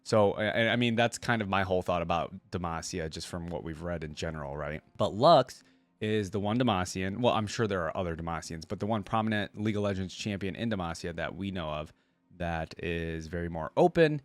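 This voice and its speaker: A man's voice: deep voice, animated, fairly quick, no echo. A man hosting a reaction video, reading fantasy lore aloud and adding casual commentary.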